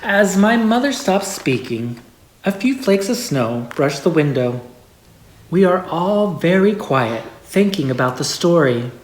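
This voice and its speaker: A person reading a holiday story aloud in a measured storybook way with expressive pauses. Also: mid-range voice, slight background noise. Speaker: story reader. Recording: echoey room.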